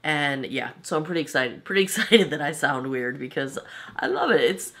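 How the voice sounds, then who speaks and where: Chatty low-voiced woman reviewing makeup. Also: moderate pace. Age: 40s. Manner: chatty, self-amused, animated. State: voice slightly off from a cold. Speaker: beauty vlogger. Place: home vanity.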